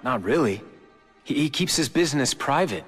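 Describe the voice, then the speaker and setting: A man's voice: medium pitch, lively intonation, moderate pace, a bit bright, breathy edge. A man with an American accent voicing an embittered, brooding young corporate heir in a video game cutscene. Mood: wary. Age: young adult. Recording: long hall-like reverb. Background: background music.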